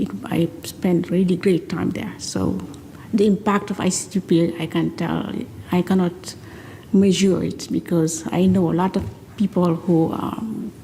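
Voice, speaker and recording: A woman from Senegal, a mathematician on a conference panel, speaking in a slow, measured way with many pauses. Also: on the low side, vocal fry, mellow, a little hiss or hum. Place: large conference hall. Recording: reverberant hall with faint ambience.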